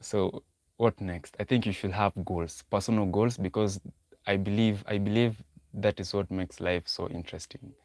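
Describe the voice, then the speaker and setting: Low-voiced man chatting on a livestream. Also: steady tone, normal speed, a little mellow, no echo, studio quality. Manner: repetitive, thinking aloud, talks to chat. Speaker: livestream host. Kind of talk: casual livestream talk, reading chat.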